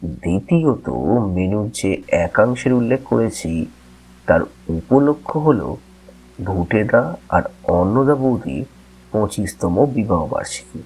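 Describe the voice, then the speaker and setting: A man narrating a scripted audio story in the first person, with a wry, expressive delivery. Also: lowish voice, breathy edge, poor audio.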